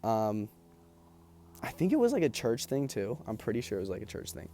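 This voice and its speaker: Tired, gravelly young man rambling. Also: on the low side, huge pitch swings, fairly quick, dark tone, slight background noise. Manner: rambling, loose, full of ums and likes. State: tired, losing his voice. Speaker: vlogger. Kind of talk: casual chat.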